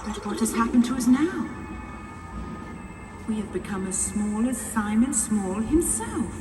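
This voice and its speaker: A woman's voice: a little high, expressive, measured pace, far from the mic. A woman narrating children's stories in a scripted storytelling style for a story ride, heard from a little way off. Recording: loud music bed under the voice.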